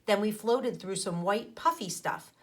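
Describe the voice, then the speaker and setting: A woman teacher reading a storybook and explaining to children in an expressive, emphatic way. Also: medium pitch, unhurried. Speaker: teacher reading aloud to pupils.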